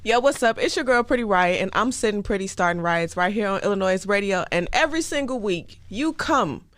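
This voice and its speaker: A woman's voice: mid-range voice, expressive, fairly quick, a bit bright. A woman hosting a casual radio interview in a playful, slangy way. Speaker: radio show host.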